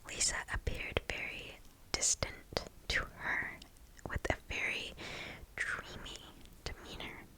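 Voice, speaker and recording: A host reading stories aloud in a breathy, hushed ASMR whisper, close to the microphone, in a deliberate way. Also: low-quality recording.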